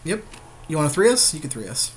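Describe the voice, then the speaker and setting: A man's voice: on the high side, sing-song, fast talker, slight background noise. A man co-hosting a podcast, bantering casually and profanely with colloquial jokes while commentating on a card game. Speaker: podcast co-host playing an online card game.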